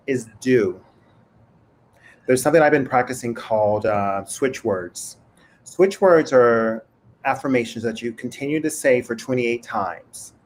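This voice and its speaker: A man's voice: medium pitch, slight background noise. Affirming male host on a video call. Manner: encouraging, affirming, animated. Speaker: moderator and show host. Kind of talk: hosting a panel conversation. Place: home room.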